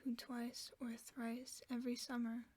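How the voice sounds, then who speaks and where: A gentle, protective werewolf friend, played by a woman, in a sleep-aid audio roleplay. The voice is a soft, breathy whisper, pitched a little high, with a mellow tone. It sits close to the microphone. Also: monotone, unhurried, no echo. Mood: storytelling.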